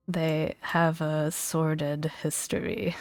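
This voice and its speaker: Soft breathy asmr girl, woman talking real slow and half whispered, playful, super dry close sound w faint music. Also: low voice, dry recording. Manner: gentle, playful, giggly. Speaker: gaming video host. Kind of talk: soft-spoken ASMR, reading creature entries with casual commentary.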